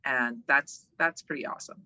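Bright, animated woman in conversation. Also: very deep voice, normal speed, rough recording. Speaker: interview guest, organizational development worker and voiceover artist. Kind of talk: casual conversational interview.

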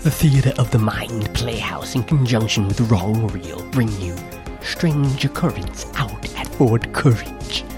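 An announcer formally presenting an audio drama in a measured, scripted old-time radio style. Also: mid-range voice. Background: loud music.

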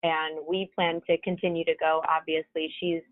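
A woman's voice: sits low, level tone, moderate pace, no room sound. Chatty woman over a tinny phone line. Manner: chatty, rambling. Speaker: guest. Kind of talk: casual conversation.

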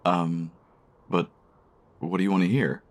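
An actor playing a shy, smitten diner customer in a romance audio drama, speaking in a hesitant, bashful way. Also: male voice, low voice, level tone, unhurried. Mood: shy, nervous.

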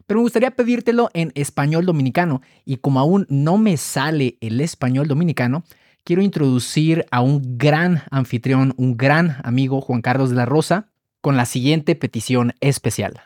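A man hosting a health podcast, reading scripted narration in a measured, explanatory way and listing items one by one. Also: mid-range voice, darkish tone, no room sound, clean studio sound. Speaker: podcast host.

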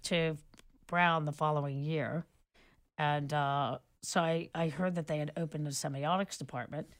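A middle-aged woman with a notably low voice speaks as a guest in a relaxed interview. She rambles through her stories with frequent restarts and fillers. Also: moderate pace. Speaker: guest, photographer and filmmaker. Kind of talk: relaxed conversational storytelling.

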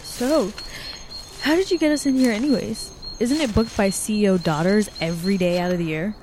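A woman's voice: mid-range voice, a little fast, background noise, close to an everyday voice. An actress playing a playful, reluctant city woman in an audio drama, speaking casually with affectionate complaint. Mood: curious, suspicious. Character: Temi, reluctant, teasing city woman on a hike. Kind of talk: naturalistic audio drama dialogue.

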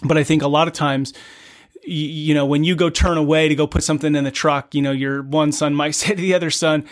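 A man's voice: fairly high pitch, fairly even intonation, quick pace. A man hosting a podcast, talking in a laid-back, slangy way and affirming his guest. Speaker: podcast host. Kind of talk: casual podcast conversation.

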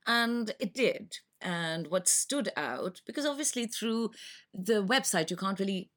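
A middle-aged woman from Lahore with a Pakistani English accent, speaking as a parent guest on a podcast and pausing often to gather her thoughts. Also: medium pitch, expressive, fairly bright tone. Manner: thoughtful, many hesitations and restarts. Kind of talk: podcast interview.

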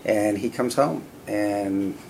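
A middle-aged man from California, a company CEO telling stories in a relaxed, conversational way as an interview guest, often pausing with "uh" and "you know". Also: on the low side, vocal fry, slight background noise. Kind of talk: casual interview storytelling.

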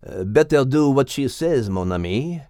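A man's voice: mid-range voice, animated, normal speed, mellow, no room sound, studio quality, close to an everyday voice. A man voicing a good-humoured, henpecked male animal, hesitant and confiding, in an audiobook. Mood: rueful, amused. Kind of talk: audiobook character acting.

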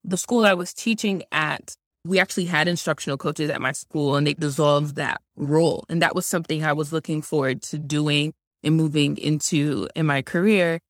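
A woman's voice: deep voice, steady tone, normal speed, hardly any pauses, dry recording, clean studio sound. A young woman, a native Haitian Creole speaker from Georgia, giving earnest, explanatory interview answers and often saying "like". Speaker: guest, science instructional coach.

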